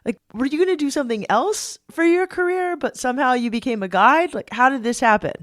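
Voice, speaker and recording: A woman's voice: sits high, animated, brisk, dry recording, studio quality. A woman podcast host narrating a scripted intro and interviewing a guest with upbeat enthusiasm. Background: music bed under narration.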